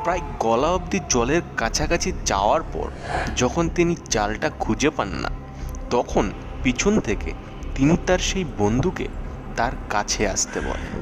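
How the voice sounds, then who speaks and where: A man narrating a scripted ghost story in short, measured, suspenseful phrases. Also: mid-range voice, animated, background noise. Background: background music.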